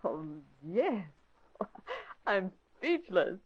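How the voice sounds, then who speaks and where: An eager, deferential young secretary in a vintage radio play. Her pitch moves about lively, and the sound is dull. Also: drawn-out words, husky, full character voice. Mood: shy, eager. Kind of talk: old-time radio drama acting.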